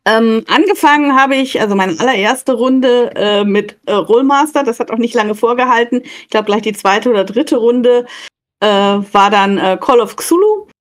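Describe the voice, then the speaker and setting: Middle-aged woman, from Germany, professor guest, slightly high voice, hesitant with fillers. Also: normal speed. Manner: thoughtful, hesitant with many fillers. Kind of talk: casual interview.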